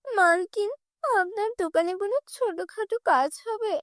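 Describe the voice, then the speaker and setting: A woman voicing a gentle young heroine, very high. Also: moderate pace, dry recording, studio quality. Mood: earnest. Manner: soft, earnest. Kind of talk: cartoon dubbing.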